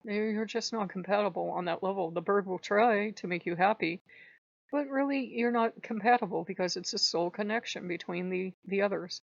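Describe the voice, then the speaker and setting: A hoarse woman hosting her channel, telling a story in a rambling, casual way and stopping to clear her throat. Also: medium pitch, normal speed, dry recording. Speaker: channel host. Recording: dull lo-fi recording.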